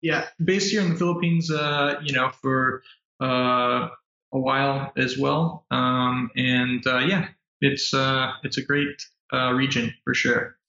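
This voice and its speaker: An American man talks through an interview in a relaxed but halting way, stacking fillers and restarts. His voice is gravelly and bright. It comes over a roomy, lo-fi remote connection. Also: mid-range voice. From United States. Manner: hesitant, many fillers and restarts. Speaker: guest entrepreneur. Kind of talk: casual interview chat. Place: apartment in Manila.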